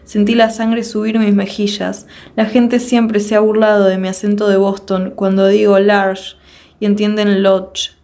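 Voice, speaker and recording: A woman reciting a long first-person poem in a measured, declamatory way, full of rhetorical questions. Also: medium pitch, level tone, warm tone. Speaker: poetry reader. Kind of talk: dramatic poetry recitation.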